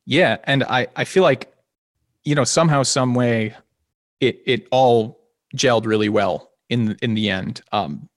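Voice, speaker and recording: A man's voice: mid-range voice, measured pace, no room sound, clean studio sound. A man, a singer and guitarist in a band, chatting casually in an interview, a little nasal, rambling and restarting his sentences. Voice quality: slightly nasal. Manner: relaxed and rambling, with many restarts and small laughs.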